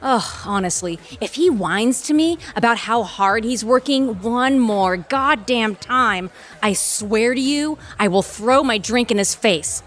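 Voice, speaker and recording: A woman's voice: a little high, animated, a little slow. An actress playing a sarcastic, impatient, foul-mouthed woman attorney in an audio theatre comedy. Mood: scornful. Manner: sardonic, biting, profane. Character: sharp-tongued, sarcastic, impatient female attorney out drinking.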